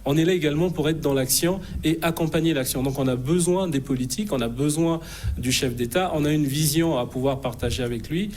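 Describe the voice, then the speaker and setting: A man's voice: fairly high pitch, darkish tone, slight background noise. Assertive middle-aged man in a TV studio. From Republic of the Congo. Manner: assertive, determined, halting with frequent fillers. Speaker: interview guest, think tank president. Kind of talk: TV news studio interview. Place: TV news studio.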